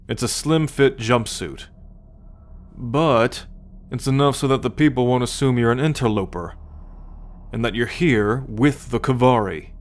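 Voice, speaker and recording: A man playing a smug, teasing, haughty alien soldier and scout in an ASMR roleplay, talking straight to the listener with deliberate condescension. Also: medium pitch, lightly acted. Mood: dry, patient. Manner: slow, deliberate, smugly condescending.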